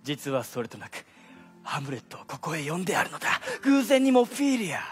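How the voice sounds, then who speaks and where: A man's voice: fairly high pitch, huge pitch swings, a little fast. An actor playing a flowery, scheming king, pompous and grandly theatrical, in a comic stage play. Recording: stage recording with music.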